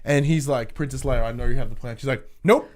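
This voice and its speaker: Male podcast co-host, mid-pitched voice, informal and sweary, loose conversational delivery. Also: fast talker, darkish tone. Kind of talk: casual movie podcast chat.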